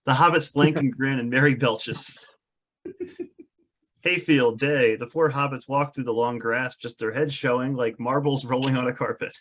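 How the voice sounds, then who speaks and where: Male narrator, average pitch, reading stage directions for a script table read. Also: steady tone, a little slow, fairly bright tone.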